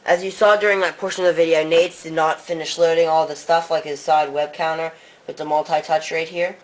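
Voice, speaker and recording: A man's voice: a little high, little pitch movement, brisk, low-quality recording. A man co-hosting a tech comparison, casually talking through a device demo. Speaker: co-host, tech reviewer. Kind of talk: casual gadget review demo.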